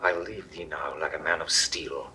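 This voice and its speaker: An actor playing a commanding Roman general with a warm, proud manner and grand declamation, in a 1960s studio radio play. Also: male voice, very deep voice, steady tone, moderate pace, full character voice, poor audio. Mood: solemn.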